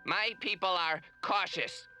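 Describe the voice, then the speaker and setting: Slow, timid cartoon alien. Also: male voice, sits high. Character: timid, cautious alien lockpick. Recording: cartoon soundtrack with clear music behind.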